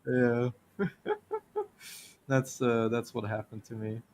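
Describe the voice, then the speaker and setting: A man's voice: mid-range voice, wildly swooping pitch, slow, a little mellow. A man with a Taiwanese accent chatting casually on a stream, rambling through anecdotes and laughing often. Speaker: stream co-host. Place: lives in Ireland.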